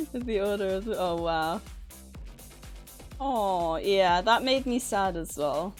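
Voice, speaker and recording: A woman's voice: medium pitch, lively intonation, very slow, long pauses, faint music behind. A woman livestreamer chatting casually with her viewers in a flustered, nervous, giggly and effusively thankful manner. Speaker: livestreamer and author hosting a stream. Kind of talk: casual live chat with viewers. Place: livestream, indoors at a computer.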